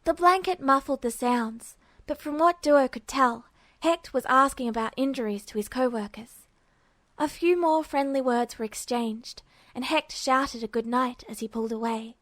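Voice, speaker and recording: Female amateur narrator for fiction, fairly high voice, dry, close sound. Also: normal speed, a bit bright, no room sound. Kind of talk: scripted story narration.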